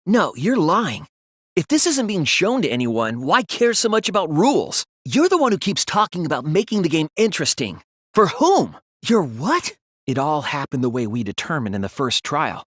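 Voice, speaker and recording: A man's voice: a little high, wildly swooping pitch, fairly bright tone, dry recording, studio quality, full character voice. A man acting an earnest, sensitive and anxious teenage boy detective in a dramatic video game dub. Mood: shocked, indignant. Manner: earnest, measured explaining that turns to strained pleading.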